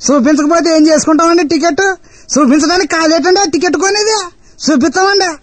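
Woman putting on a huffy north coastal andhra village guy, fast and drawn-out complaints. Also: extremely high pitch, no echo. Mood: indignant. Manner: offended, protesting, long drawn exclamations. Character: indignant rustic villager man, touchy and talkative.

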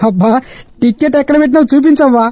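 An actor playing a harried train ticket collector, exasperated and pleading with passengers. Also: male voice, very high-pitched, fast talker, mellow, dry recording, lo-fi sound. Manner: exasperated, pleading, formal address.

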